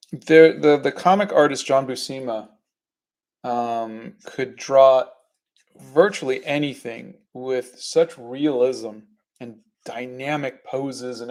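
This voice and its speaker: A middle-aged man hosting an art livestream, chatting in a relaxed, halting way with fillers while he paints. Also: mid-range voice. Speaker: artist and livestream host. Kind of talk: casual chat while painting.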